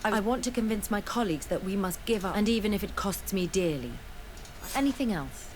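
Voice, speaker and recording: An actress plays a principled female scholar in a fantasy video game. She speaks earnestly and soberly, with regret, at a medium pitch. There is faint ambient noise behind her. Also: expressive, brisk, natural voice. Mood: remorseful, earnest. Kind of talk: video game dialogue acting.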